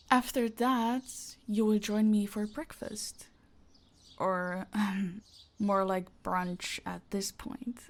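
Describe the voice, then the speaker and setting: A woman playing a possessive, teasing mafia boss in an intimate audio roleplay, commanding and often dropping toward breathy whispers. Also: medium pitch, a little mellow.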